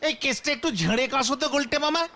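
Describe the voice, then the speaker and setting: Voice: high-pitched, a little fast. A performer voicing a cheeky, inquisitive young boy sidekick in a comic cartoon, exaggerated and teasing. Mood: mock sympathy. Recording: loud music behind the voice.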